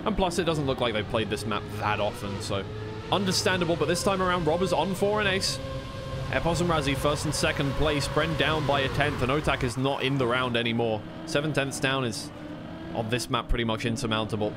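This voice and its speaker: A man commentates a racing esports match live in a mid-range voice. He moves between play-by-play and casual, conversational asides, reasoning out loud. Clear engine-like noise runs behind his voice. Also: mid-range voice, fairly quick.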